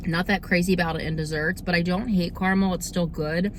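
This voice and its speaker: A young woman with a slightly hoarse, under-the-weather voice, chatting casually and informally as she hosts a food review vlog. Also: fairly low pitch, fairly even intonation, fairly quick, a little hiss or hum. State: slightly hoarse, getting over a cold. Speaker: host of a cookie review video series.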